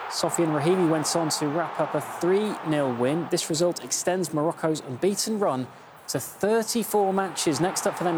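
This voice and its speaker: A presenter reads a scripted sports news report. The delivery is brisk and informative, with a mid-pitched voice. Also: background noise.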